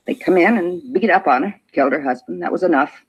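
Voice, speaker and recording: A woman's voice: fairly low pitch, fairly quick, breathy edge. An actress playing a shaken, talkative neighbor woman in an old radio crime drama, speaking in plain, folksy, clipped phrases. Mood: shocked, indignant. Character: shaken, talkative older female neighbor giving a witness statement. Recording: dull, lo-fi vintage radio recording.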